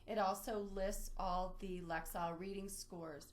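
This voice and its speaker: Woman, medium pitch, webinar trainer, instructional walkthrough, step-by-step explanatory delivery. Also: steady tone, unhurried. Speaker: webinar presenter, library trainer. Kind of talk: instructional screen-share walkthrough, semi-scripted.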